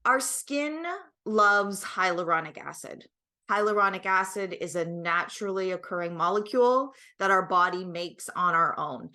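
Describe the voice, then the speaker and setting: A woman's voice: fairly low pitch, lively intonation, moderate pace, bright, forceful tone. A middle-aged woman, a guest expert explaining things conversationally and emphatically, often checking that the listener follows. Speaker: guest expert, product creator.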